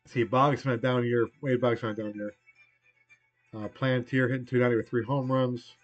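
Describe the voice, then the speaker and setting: A man in his forties with a cold, hoarse and losing his voice, rambling casually through a baseball game replay as host. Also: medium pitch, steady tone, normal speed, muffled recording, faint music behind. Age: 40s. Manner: rambling, conversational, trailing off.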